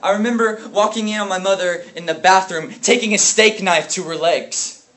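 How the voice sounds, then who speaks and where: A man performing a dramatic monologue as a haunted, bitter, grieving brother, speaking in a halting, intense way. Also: mid-range voice, lively intonation, a bit bright. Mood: haunted pain. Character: grief-stricken brother, haunted and bitter over family abuse. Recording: echoey, noisy room from far off.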